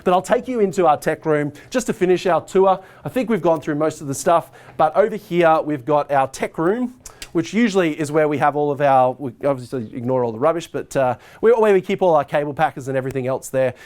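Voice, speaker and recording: A man's voice: on the high side, a little fast, a little mellow. A man in his forties with an Australian accent, explaining things informally in a casual behind-the-scenes walkthrough. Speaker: high school arts and IT head of department giving a tour. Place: indoors, school corridor and tech room. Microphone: lapel mic.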